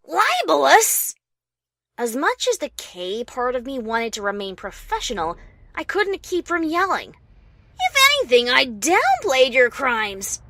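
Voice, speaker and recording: A woman's voice: high-pitched, no room sound, exaggerated voice. A woman voicing a tense pony author who narrates her own story dramatically, as a fan fiction reading. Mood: indignant. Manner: dramatic, expressive.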